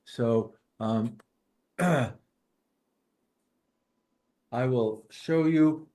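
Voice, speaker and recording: A man introducing his reading informally at an online open mic, with a halting, playful manner. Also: fairly high pitch, warm tone. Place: online video gathering.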